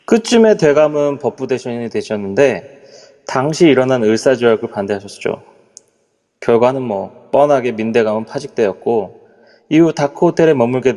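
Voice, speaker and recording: A nervous middle-aged hotel executive, as a man plays him in an audio drama, speaking politely and hesitantly in a mellow, dark voice. His words trail off when he turns uneasy. A long reverb from the voice chat room hangs behind him. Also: mid-range voice, lightly acted. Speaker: voice actor in a live amateur audio drama.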